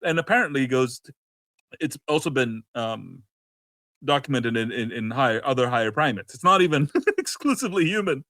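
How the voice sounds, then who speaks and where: A man's voice: mid-range voice, animated, fairly bright tone, no room sound. Male co-host, mid-range voice, loose opinionated chat with frequent restarts, very dry sound. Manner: halting, breaking off and rephrasing often. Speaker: podcast co-host. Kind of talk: casual opinionated discussion.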